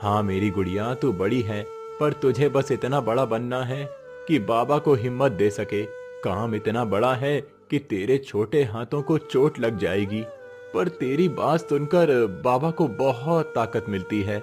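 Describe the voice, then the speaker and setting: A man's voice: mid-range voice, a little slow, lightly acted. A man playing a poor, proud village labourer father, tender and resolute, in an earnest moral-story style. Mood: gentle, determined. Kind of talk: Hindi moral story voice acting. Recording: music behind the voice.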